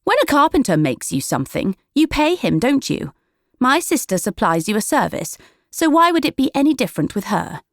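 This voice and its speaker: A woman's voice: fairly high pitch, big pitch swings, a little fast, dry recording, pitched above the performer's own voice. This is a domineering young witch from a storybook, performed by a woman narrator. She challenges people relentlessly and talks down to them with scorn. The sound is dry and close. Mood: indignant. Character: relentless bossy older sister witch, girl.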